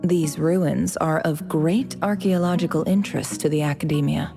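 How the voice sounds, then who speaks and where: An actress playing a cool, calculating young woman in a video game, a scholarly envoy who speaks with measured, polite persuasion. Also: low-pitched, creaky, over music. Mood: courteous, persuasive.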